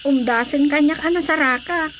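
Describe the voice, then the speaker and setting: An actress playing an earnest, polite young daughter in a radio drama, pleading and deferential. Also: female voice, high-pitched, moderate pace, a bit bright, slight background noise.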